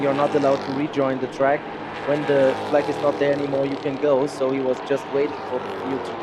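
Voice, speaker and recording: German-native guy doing color commentary, slightly higher voice, car engines clearly behind. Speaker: co-commentator, racing expert. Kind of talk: live motorsport commentary. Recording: race car noise behind. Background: racing engines.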